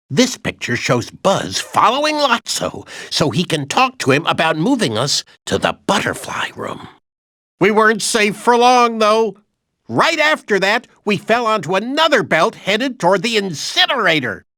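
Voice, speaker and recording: A man's voice: high voice, sharp tone, no room sound, studio quality, full character voice. A man voicing an anxious, childlike toy dinosaur in a video game, earnestly retelling adventures with dramatic emphasis. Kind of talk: animated video game storytelling narration.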